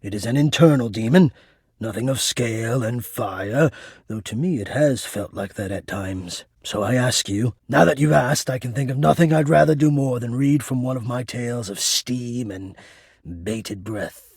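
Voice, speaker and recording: Gravelly, anxious lovelorn attic spirit. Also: male voice, medium pitch, darkish tone, no echo, clean studio sound. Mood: earnest, devoted. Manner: theatrical, florid, halting and earnest. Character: anxious, lovelorn, poetic male ductwork spirit hiding in attic. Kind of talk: video game character acting.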